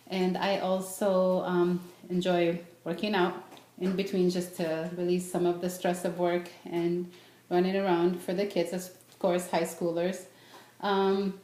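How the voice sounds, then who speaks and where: In an echoey room with some background noise, a middle-aged woman gives an informal speech about herself. Her voice sits fairly low, and she speaks haltingly, pausing often to find the next thought. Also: warm tone. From born in Beirut, raised in the United States. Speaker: student speaker, registered nurse. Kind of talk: informal self-introduction speech.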